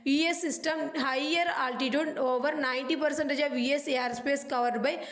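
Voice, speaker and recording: Female lecturer, high pitch, clipped and halting read of study notes, roomy echo. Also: fairly even intonation, fairly bright tone. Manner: halting, clipped reading with dropped words. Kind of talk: scripted educational narration.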